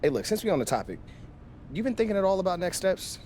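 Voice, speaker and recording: An actor playing a cocky, joking young American best friend, brash and slangy, in a video game scene. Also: male voice, sits high, very animated, fast talker, darkish tone, a little hiss or hum. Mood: caring, casual. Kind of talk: naturalistic video game cutscene acting.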